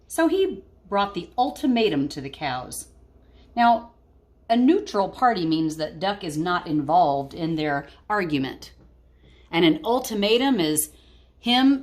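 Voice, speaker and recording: A woman teacher reading a picture book aloud expressively and talking to children, explaining things simply. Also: medium pitch. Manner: explains words simply, animated storytelling.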